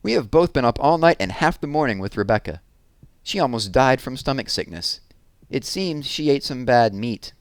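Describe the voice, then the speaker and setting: A man's voice: medium pitch, lively intonation, normal speed, smooth, no echo, studio quality, voice pushed up, natural voice. A man voicing a proper, outspoken married woman in a storybook reading, emphatic and prim. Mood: prim, defiant.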